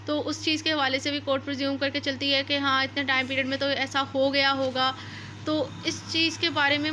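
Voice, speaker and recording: High, bright-voiced Pakistani woman lecturing. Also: a little hiss or hum. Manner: didactic, repeats and rephrases points. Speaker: law lecturer. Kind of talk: explanatory lecture, reading out statutes and paraphrasing them.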